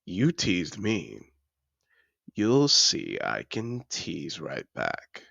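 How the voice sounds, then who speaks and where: Man voicing a very deep, gravelly seductive dragon. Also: wildly swooping pitch, pushed and bright, no room sound, highs cut off, full character voice. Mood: teasing, seductive. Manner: teasing, courtly, slow intimate murmur. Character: seductive possessive male dragon disguised as a man. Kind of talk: intimate fantasy audio roleplay. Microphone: close, dry microphone.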